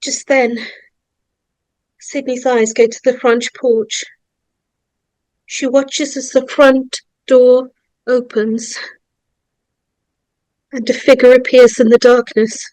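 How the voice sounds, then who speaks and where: High-pitched woman narrating horror, slow and deliberate. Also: little pitch movement, a little mellow, dry recording, low-quality recording. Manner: suspenseful, deliberate, with dramatic pauses. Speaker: narrator of a script table read. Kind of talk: dramatic reading of horror screenplay stage directions.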